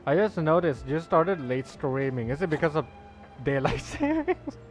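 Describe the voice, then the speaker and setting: A man's voice: fairly high pitch, very animated, normal speed. A man livestreaming a game, chatting casually and reacting with jokes, slang and mild swearing. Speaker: game streamer. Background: soft background music.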